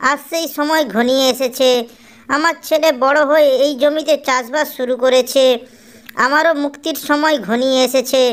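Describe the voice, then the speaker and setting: High-pitched woman acting a solemn farmer's ghost. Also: a bit bright. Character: ghost of dead farmer husband, protective, devoted. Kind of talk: Bengali cartoon story acting.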